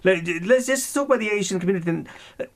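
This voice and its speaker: A man's voice: sits high, lively intonation, fast. A British man presenting on local radio, conducting a political interview in a conversational, probing way.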